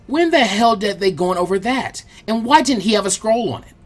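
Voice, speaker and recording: A man reading a story aloud as an expressive, colloquial audiobook narrator, with a husky voice. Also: sits high, a little fast. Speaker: fan fiction audiobook narrator.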